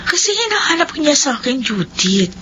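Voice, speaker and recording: A woman's voice: high-pitched, sing-song, normal speed, bright, forceful tone, a little hiss or hum, exaggerated voice. A woman playing a nosy, teasing friend in a Philippine radio soap, chatty and probing. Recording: dull lo-fi radio sound.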